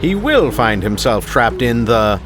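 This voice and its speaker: An actor playing a genial, portentous narrator of a 1930s radio serial, with a formal, grandiose and dramatic delivery. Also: male voice, mid-range voice, big pitch swings, unhurried, over loud music. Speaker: narrator and host.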